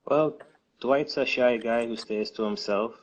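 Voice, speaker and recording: A man answering interview questions in a relaxed, hesitant way, with many fillers and restarts. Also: medium pitch, unhurried. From United States. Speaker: guest, music distribution co-founder.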